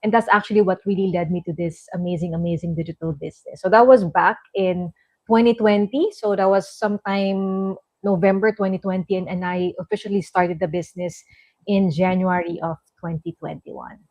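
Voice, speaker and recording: A woman's voice: fairly low pitch, steady tone, a little fast, a little mellow, poor audio. A woman from the Philippines joins a live online interview as a guest. She speaks in a friendly, familiar way and calls the host sis.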